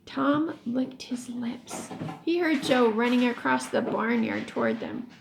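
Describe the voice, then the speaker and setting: A woman reading a children's book aloud expressively, as a teacher would, pausing to explain words. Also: on the high side, vocal fry, noisy background. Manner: animated storytelling, pauses to explain words.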